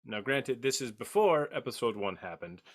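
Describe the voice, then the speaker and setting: A man hosting a casual group podcast, talking in a halting, jokey way. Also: mid-range voice, expressive. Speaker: podcast host.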